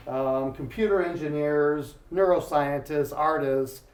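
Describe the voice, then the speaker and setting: A man's voice: on the high side, a little mellow. A man from Ohio, the embassy's commercial counselor, giving an informal welcome speech with plenty of "um" and small jokes. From Ohio, US Midwest. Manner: informal, many fillers, self-deprecating jokes. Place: embassy event room. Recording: echoey room.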